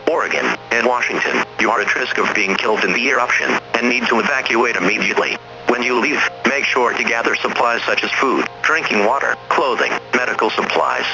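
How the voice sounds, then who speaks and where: A man reading a scripted emergency broadcast announcement in a formal, measured, impersonal manner. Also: medium pitch, low-quality recording.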